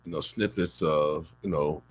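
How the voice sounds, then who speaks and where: A man's voice: very deep voice, measured pace, a bit bright, husky, no room sound. A male radio host asking rambling, easygoing questions in an interview.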